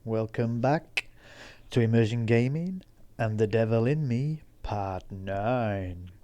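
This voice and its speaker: A man's voice: medium pitch, takes it slowly, noisy background. A man, congested with a head cold, chatting casually as he introduces a gameplay video with plenty of ums. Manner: chatty, rambling, frequent ums. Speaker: gaming channel host. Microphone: desk mic he switches off.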